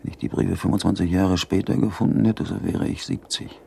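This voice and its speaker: Brooding Prussian baron, middle-aged, radio play, extremely low pitch, mellow tone, measured and reflective, faint background noise. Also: male voice, fairly even intonation, gravelly. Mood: brooding, reasoning. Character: Innstetten, brooding, duty-bound Prussian baron of forty-five. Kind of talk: German radio play acting, interior monologue.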